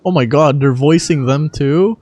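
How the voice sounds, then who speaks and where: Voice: mid-range voice, a little slow, darkish tone, no echo. A gaming video creator talking casually and irreverently, with frequent swearing, while trying out amateur voice acting. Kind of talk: casual gaming commentary and amateur character reading.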